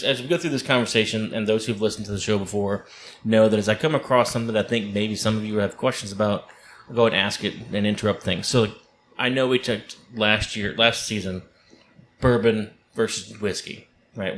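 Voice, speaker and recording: A man hosts a podcast. He sounds upbeat and chatty, full of fillers and quick restarts. Faint room noise runs behind him. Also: on the low side, a bit bright. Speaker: podcast host. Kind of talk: casual podcast hosting.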